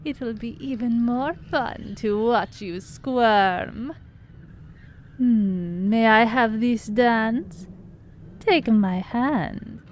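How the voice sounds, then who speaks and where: A woman's voice: on the high side, drawn-out words, a little hiss or hum. A woman playing a seductive, cruelly playful vampire sister in a horror audio drama, with a mocking, theatrical delivery. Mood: gleeful menace.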